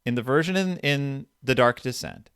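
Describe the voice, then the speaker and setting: Guy podcast host, really animated voice, rambly casual book chat, close dry sound. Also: medium pitch, normal speed, clear, resonant tone, dry recording.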